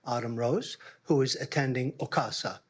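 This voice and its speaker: A man's voice: medium pitch, normal speed, breathy edge. An older man with a Turkish accent, making an earnest, humble public comment at a meeting and searching for his words. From Istanbul, Turkey. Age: middle-aged or older, a grandfather. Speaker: grandparent speaking as public commenter.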